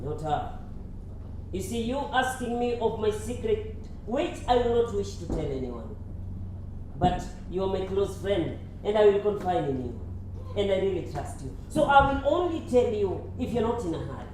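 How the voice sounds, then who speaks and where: A man's voice: sits high, very animated, moderate pace, a little mellow. A man with a Kenyan accent acting a polite, troubled young university student in a stage play. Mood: hesitant, confiding. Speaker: stage actor. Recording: very echoey hall, faint noise.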